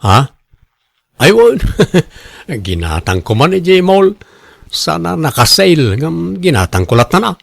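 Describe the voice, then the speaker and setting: An actor with an Ilocano accent playing a boastful, defensive husband who loves showing off, in a radio drama. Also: male voice, on the high side, very animated, slow, gravelly, long pauses, a bit bright, no room sound, heavily acted. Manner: showy, bragging. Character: boastful, defensive husband and co-worker obsessed with costly branded clothes.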